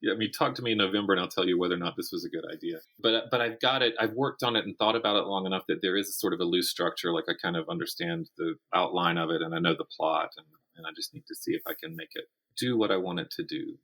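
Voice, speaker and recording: A man's voice: low voice, quick pace, few pauses, bright, forceful tone. A man speaking as a guest author in a casual interview, often restarting and repeating words as he thinks aloud.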